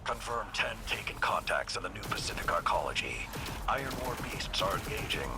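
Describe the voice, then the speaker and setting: An actor playing a stern, stoic soldier in a video game, giving terse military reports in a gravelly, breathy low voice. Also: sing-song, fairly quick, over loud music. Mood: urgent. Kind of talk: dramatic video game voice acting.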